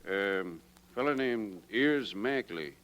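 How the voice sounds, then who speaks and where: An actor playing a casual, slangy music shop owner with an offhand manner, in 1940s radio style. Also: male voice, lowish voice, lively intonation, takes it slowly, fairly bright tone.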